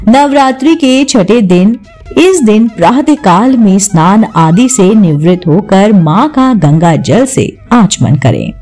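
A woman narrating a scripted devotional programme and reciting prayers in a measured, deliberate way. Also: mid-range voice, mellow. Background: music.

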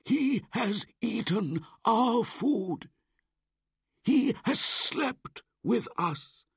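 A man's voice: very high-pitched, animated, very slow, fairly bright tone, slightly rough, no room sound, studio quality, pitched above the performer's own voice, heavily acted. A man doing an old pleading wolf.

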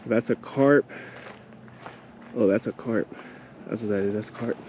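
A man's voice: fairly low pitch, measured pace, noisy background. A man casually talking viewers through a fishing trip, laid-back and chatty, calling them guys and bro. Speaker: fishing vlogger. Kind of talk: casual outdoor commentary. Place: outdoors by an aqueduct.

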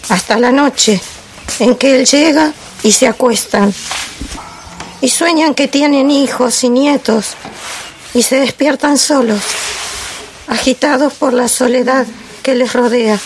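Woman acting a slow-spoken, melancholy wife, high-voiced. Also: slight background noise. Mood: melancholy, pensive. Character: melancholy wife recalling a silent, lonely marriage. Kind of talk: poetic theatrical dramatic monologue. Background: music.

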